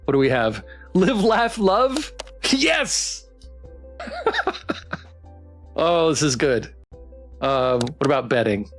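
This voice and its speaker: Playful streamer chatting over game music, really animated voice, mid pitch. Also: takes it slowly, pauses often. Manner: playful, expressive. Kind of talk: live visual novel playthrough, reading aloud and chatting.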